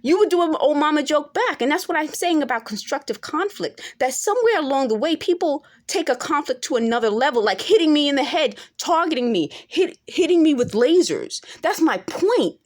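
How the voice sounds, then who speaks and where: A woman's voice: high-pitched, expressive, brisk, hardly any pauses. A woman in her forties talking straight to camera in an unscripted monologue, earnest and insistent, now and then rising to emphatic peaks. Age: 40s. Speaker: vlogger.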